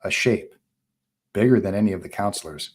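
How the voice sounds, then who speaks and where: A man's voice: fairly low pitch, brisk, slightly rough. A man narrating a screenplay at a live table read, reading terse scene directions in short phrases.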